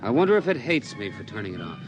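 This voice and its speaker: A man's voice: mid-range voice, very animated, quick pace, music in the background, lightly acted. An actor playing a stern, exasperated middle-aged father in a 1950s radio drama. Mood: uneasy. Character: stern, exasperated father, growing uneasy and frantic.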